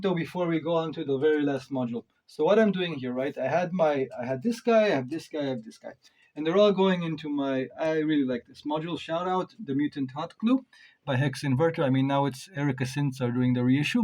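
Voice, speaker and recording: A man's voice: on the high side, a little mellow. A man giving a casual hands-on gear review, thinking aloud in an informal way with emphatic stresses. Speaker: reviewer of modular synth gear.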